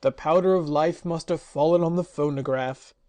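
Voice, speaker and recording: A crooked old magician close to panic, voiced by a woman. He cries out loud and hard, wailing in despair, his voice pushed and strained in a storybook reading. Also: low voice, moderate pace, dry recording, lightly acted. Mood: frantic.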